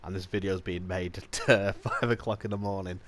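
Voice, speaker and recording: A man with a bad cold, slightly nasal, hosting a casual gaming channel update in a chatty, relaxed way. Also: low-pitched, normal speed. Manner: chatty and relaxed, with small laughs and restarts.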